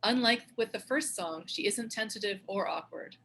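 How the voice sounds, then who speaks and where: A woman's voice: medium pitch, normal speed, a bit bright, breathy edge. A woman reading stage directions as scripted narration for a screenplay table read.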